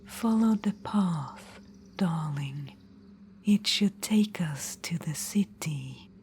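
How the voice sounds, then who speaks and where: A woman voicing an ancient, proud witch-queen in an ASMR fantasy roleplay, whispering softly into a close mic and calling the listener darling. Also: deep voice, expressive, slow pace, a little hiss or hum, exaggerated voice. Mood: guiding, wondering. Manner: soft unvoiced whisper throughout.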